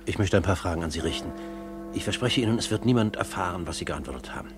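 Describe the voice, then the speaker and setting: Actor as a deep-voiced courteous gentleman. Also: quick pace, music in the background, close to an everyday voice. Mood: probing, intent. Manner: formal, probing, courteous. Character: earnest gentleman investigator, courteous and intent. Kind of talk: 1950s German radio drama acting. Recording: noisy 1950s radio drama recording.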